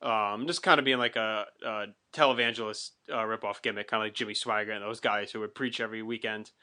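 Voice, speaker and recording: A man talking casually as a podcast co-host, in a relaxed back-and-forth retrospective chat. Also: lowish voice, fast.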